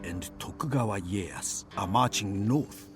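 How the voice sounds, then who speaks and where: Solemn male chronicler of samurai wars, low-pitched, scripted game cutscene narration over prominent music. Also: animated, a little slow. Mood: solemn, measured. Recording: music under the voice. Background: loud background music.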